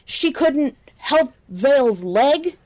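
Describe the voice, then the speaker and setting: A woman hosting a podcast in a casual fan-chat style, talking in an excitable, emphatic way. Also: high voice, slow pace, slight background noise. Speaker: podcast host.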